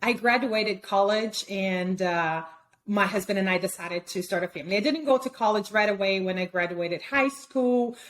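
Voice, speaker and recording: A woman's voice: mid-range voice, normal speed, slight background noise. A woman from Brazil whose first language is Portuguese, speaking with a Brazilian Portuguese accent as a guest in a casual interview, chatty, with many fillers and restarts. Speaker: guest photographer.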